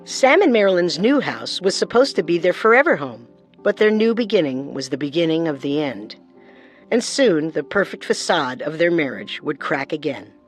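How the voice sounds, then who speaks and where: A woman's voice: low voice, very animated, moderate pace, soft background music. A woman co-hosting a true-crime show with scripted narration. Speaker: co-host, narrator.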